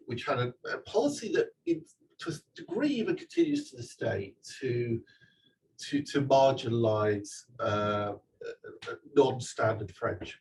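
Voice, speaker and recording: A man's voice: mid-range voice, far from the mic, a little hiss or hum, poor audio. A man, the author, talking casually at a live event, chatty and digressive with many restarts and fillers. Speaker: author giving a talk. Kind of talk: live talk and audience Q&A. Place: event venue with audience.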